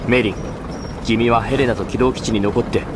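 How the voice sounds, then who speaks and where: Man acting calm leader, anime game, authoritative, fast-paced, noisy background. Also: medium pitch, lightly acted. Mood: calm, decisive. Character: calm, authoritative male commander. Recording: clear background vehicle noise.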